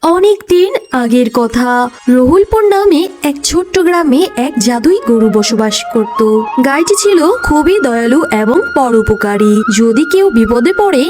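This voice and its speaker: A woman's voice: sits high, animated, a little slow, a little mellow. A woman reading scripted fairy-tale narration for a cartoon as its storyteller. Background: music.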